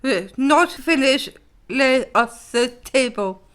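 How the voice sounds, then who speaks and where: A woman's voice: high voice, lively intonation, slow, a bit bright, full character voice. A woman playing a blunt, dutiful young housemaid in a period audio play.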